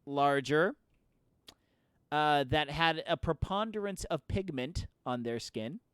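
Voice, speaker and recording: A man in his thirties with a voice higher than most men's, chatting casually while livestreaming a game and telling stories with lots of laughter. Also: takes it slowly, a bit bright, clean tone, dry recording, studio quality. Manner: laughing storyteller who acts out quoted voices. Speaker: streamer.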